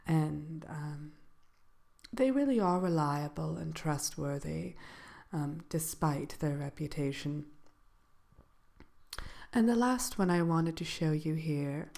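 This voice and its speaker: A woman playing a composed dark sorceress who gives a soft-spoken ASMR seminar in a hesitant, measured, genteel manner. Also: deep voice, creaky, exaggerated voice. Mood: composed, matter-of-fact. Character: composed dark sorceress lecturing on magical animal companions. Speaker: seminar presenter.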